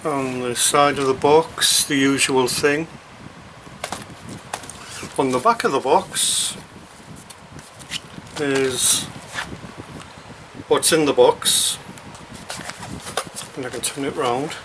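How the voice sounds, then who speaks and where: Man, slow halting delivery, matter-of-fact, unscripted unboxing commentary, clear background noise with crinkling packaging. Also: medium pitch. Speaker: radio hobbyist presenting an unboxing.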